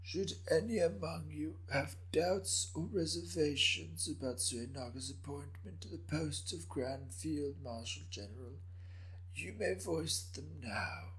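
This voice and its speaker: A man voicing an ancient Japanese daimyo with a raspy voice, speaking in a measured, slyly amused way for a dramatic story reading. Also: fairly high pitch, a bit bright, pitched above the performer's own voice, rough recording. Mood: wry, composed. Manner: measured, understated.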